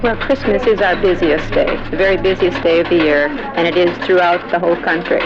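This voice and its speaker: A man's voice: sits high, steady tone, brisk. A man giving matter-of-fact answers in an archival interview. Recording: dull archival broadcast with music.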